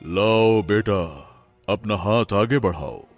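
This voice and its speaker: A grave holy sage from a cartoon folk tale, acted by a man speaks low and very slowly. His delivery is solemn and mysterious, with swings in pitch, over soft background music. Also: expressive. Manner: slow, grave, chanting Om. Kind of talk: animated folk tale acting.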